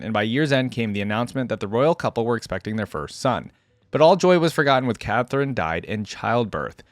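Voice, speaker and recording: A man presents as a casual reaction host, with an average-pitched male voice. He reads text aloud and comments on it informally, as if thinking out loud. The sound is dry, with very little room in it. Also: no room sound.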